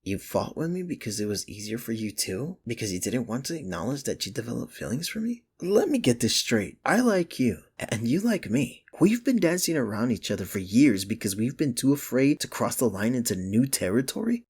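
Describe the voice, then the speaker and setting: A man playing a teasing, flustered office rival smitten with the listener, in an intimate ASMR romance roleplay with a husky, gravelly voice. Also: mid-range voice, very animated, fairly quick, few pauses, a little mellow. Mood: earnest, vulnerable, then amazed, amused.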